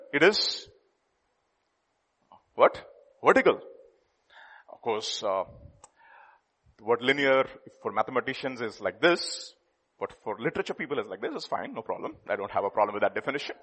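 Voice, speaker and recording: A man preaching a sermon, emphatic, jumping between loud declarations and hushed asides. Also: fairly high pitch. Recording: long hall reverb.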